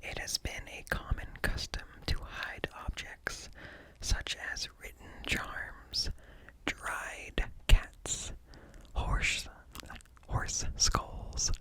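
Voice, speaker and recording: A man whispering an ASMR reading in a hushed, deliberate way, very close to the microphone. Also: rough recording. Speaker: ASMR host reading articles. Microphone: close up, ear-to-ear stereo.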